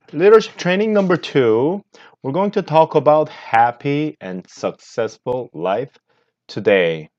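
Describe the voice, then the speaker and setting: A man from Korea, a native Korean speaker with a Korean accent, giving an earnest leadership lecture as a martial arts master over slides, unhurried, pausing often. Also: mid-range voice, darkish tone, muffled recording. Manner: earnest, unhurried, with frequent pauses and fillers.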